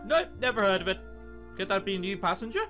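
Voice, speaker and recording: A man's voice: high voice, sing-song, quick pace, creaky, bright, forceful tone, faint music behind, full character voice. A man voicing a friendly, easygoing female train passenger in a visual novel, chatty and helpful. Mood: unconcerned. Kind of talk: visual novel dialogue read aloud.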